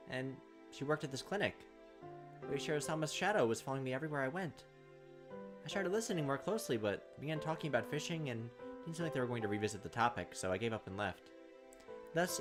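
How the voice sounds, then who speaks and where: A man hosting a let's play, reading visual novel narration aloud with casual commentary. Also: medium pitch. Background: game music.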